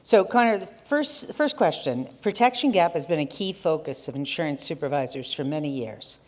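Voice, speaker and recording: A woman's voice: low voice, animated, moderate pace. A middle-aged woman moderating an onstage armchair conversation, reading formal prepared questions. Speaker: conference moderator. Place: conference stage.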